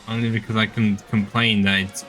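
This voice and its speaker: A man's voice: on the low side, flat delivery, a little fast, a bit bright, soft background music. A man streaming a video game with casual commentary, sounding exasperated, sardonic and nitpicking. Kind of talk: casual game commentary with dialogue read aloud.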